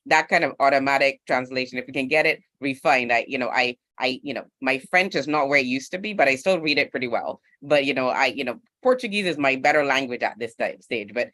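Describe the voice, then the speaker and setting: A man's voice: mid-range voice, brisk, hardly any pauses, sharp tone, no room sound. Man in thoughtful panel talk, dry close sound. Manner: conversational, thoughtful, with repeated "you know". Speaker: panel speaker. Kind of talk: unscripted panel discussion.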